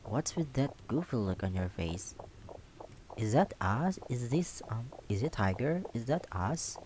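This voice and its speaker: A man's voice: mid-range voice, very animated, measured pace, noisy background. A man doing a casual visual novel let's play, reading aloud and reacting with cheeky, playful asides and often repeating himself. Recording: music playing behind the voice. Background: music.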